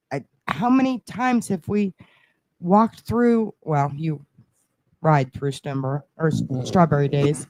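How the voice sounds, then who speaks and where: A woman in her forties talks at an unhurried pace with a mellow, dark tone. She chats casually and earnestly, like a podcast co-host sharing traditions. The sound is dry and close. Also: medium pitch, no room sound, poor audio. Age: 40s. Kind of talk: casual chat.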